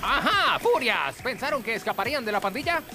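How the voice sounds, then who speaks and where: A bold cartoon animal hero, proud and grandiose. The commanding voice swings widely in pitch over loud music. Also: male voice, very animated, fairly quick. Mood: bold, heroic. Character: bold, proud animal hero who calls himself tiger warrior. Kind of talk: animated cartoon dub acting.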